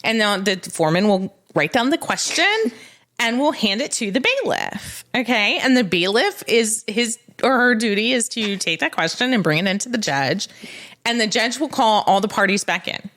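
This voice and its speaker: A woman's voice: medium pitch, lively intonation, moderate pace, a bit bright. Female legal podcast co-host, middle register, conversational explainer who acts out bits of dialogue. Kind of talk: casual explanatory podcast chat.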